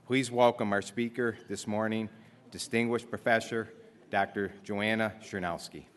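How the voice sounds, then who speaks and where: Man, lowish voice, formal introduction at a podium, steady reading. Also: moderate pace, a little hiss or hum. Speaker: ceremony presenter introducing speaker.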